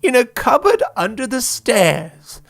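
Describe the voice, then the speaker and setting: Animated, unhurried comic storyteller. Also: medium pitch, vocal fry. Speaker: narrator. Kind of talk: scripted comic story narration.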